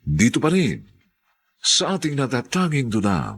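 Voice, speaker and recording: A man announcing and narrating a radio drama in a solemn, measured, theatrical style. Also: mid-range voice, wildly swooping pitch, breathy edge.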